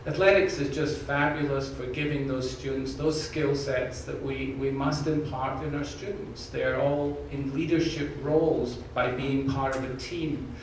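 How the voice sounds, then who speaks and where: A man with a slight Scottish accent talks earnestly, with jokes, to a room of staff. He is picked up from far away in a very echoey space. A steady background hiss sits under his voice. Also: medium pitch, a little slow, few pauses. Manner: earnest, jokey, repeats words when restarting. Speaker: university president candidate. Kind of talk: answering questions at an open forum. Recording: echoey room, distant mic, background noise.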